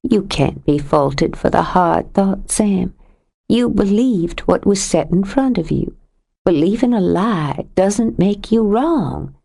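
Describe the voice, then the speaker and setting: Calm, firm woman, as a narrator reads her. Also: mid-range voice, slow, creaky, breathy edge, full character voice. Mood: earnest. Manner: calm and firm, gently blunt. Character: steady, plainspoken woman, fond and firm. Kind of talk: audiobook character reading.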